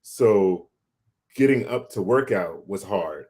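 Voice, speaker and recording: Low-voiced man telling a personal story. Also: lively intonation, a little mellow. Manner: halting, repeats words as they think aloud. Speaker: guest sharing a personal health story. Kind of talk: casual conversational storytelling.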